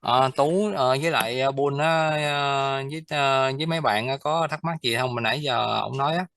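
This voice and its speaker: A man's voice: medium pitch, fairly bright tone, no room sound, studio quality. Hesitant man asking questions. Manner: hesitant, many fillers, halting English. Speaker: client team member asking questions. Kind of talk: casual work meeting talk.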